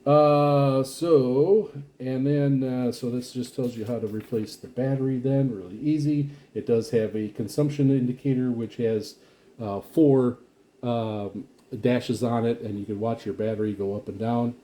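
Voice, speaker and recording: A man giving a casual, rambling product walkthrough as a reviewer, speaking in a halting, explanatory way. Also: mid-range voice, fairly even intonation, dark tone. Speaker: radio reviewer and vlogger.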